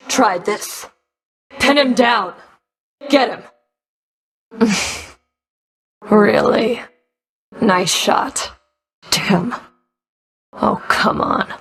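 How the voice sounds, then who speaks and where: A woman playing a stern, sardonic underworld Fury in a video game, cool, taunting, curt and commanding. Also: medium pitch. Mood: combat barks. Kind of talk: video game character voice lines.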